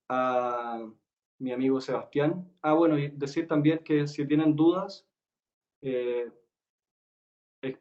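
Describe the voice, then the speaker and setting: A man's voice: fairly high pitch, dull audio. A man hosting an online talk, introducing the guest speaker in a hesitant way, with many fillers and self-corrections, over a video call. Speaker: host and event organizer.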